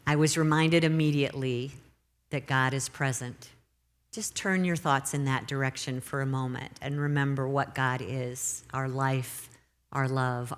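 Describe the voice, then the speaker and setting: A middle-aged woman minister giving a Sunday sermon in a church, gentle and unhurried, slowing down to stress her points. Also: very deep voice. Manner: gentle, unhurried, slows for emphasis. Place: church sanctuary.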